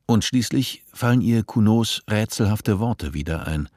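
A man narrating a scripted radio play in a measured, literary manner. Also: fairly low pitch, expressive, darkish tone, no room sound, studio quality.

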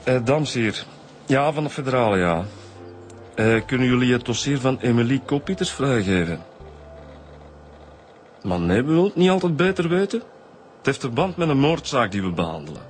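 An actor playing an easygoing, slightly evasive homicide detective, explaining hesitantly and colloquially with a Flemish accent in a radio drama. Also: male voice, mid-range voice, big pitch swings, normal speed, long pauses, lightly acted. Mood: matter-of-fact, irritated. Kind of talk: naturalistic radio drama dialogue. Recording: radio drama with music bed.

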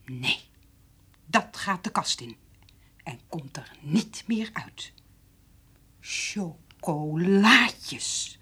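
A woman's voice: deep voice, lively intonation, extremely slow, pauses often, bright, forceful tone, husky, exaggerated voice. A woman playing a suspicious, curt farmer's wife with guarded hurt in a radio play. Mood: bitter, muttering. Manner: terse, guarded.

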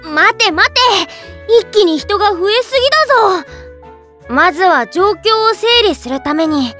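A woman's voice: very high-pitched, expressive, a little slow, bright, forceful tone, faint music behind, exaggerated voice. A woman playing a commanding female guard chief in an anime drama, with blunt, old-fashioned authoritative speech. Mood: flustered. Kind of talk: Japanese anime drama CD acting.

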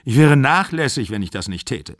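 A man's voice: medium pitch, sing-song, moderate pace, no room sound, pitched above the performer's own voice, natural voice. A man doing a cool firm older dad, curt and controlled, dry close voice. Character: stern controlled older male theater owner and father.

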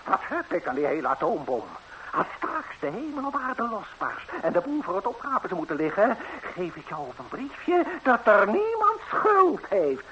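An actor playing a grumbling, world-weary devil hungry for souls in a 1960s radio fairy tale. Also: male voice, sits high, wildly swooping pitch, normal speed, sharp tone, heavily acted, rough recording. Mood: scornful ranting. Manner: ranting, grumbling, exclamatory, with scornful laughs. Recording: hissy 1960s radio recording.

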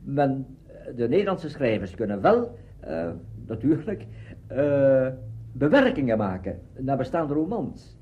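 A man's voice: mid-range voice, sing-song, measured pace. A man speaking off the cuff in an interview, searching for his words and seeking agreement as he goes. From Netherlands. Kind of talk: spontaneous interview talk. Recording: dull lo-fi archive interview recording.

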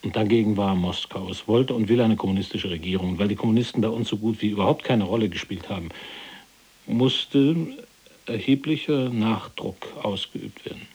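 An actor playing an imprisoned former resistance fighter in a radio play, measured, matter-of-fact and quietly bitter. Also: male voice, lowish voice, breathy edge. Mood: sober, bitter. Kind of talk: German radio play acting.

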